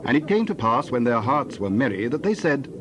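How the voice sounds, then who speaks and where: A man's voice: medium pitch, big pitch swings, brisk, a little hiss or hum. A man narrating scripture from a script as a storyteller.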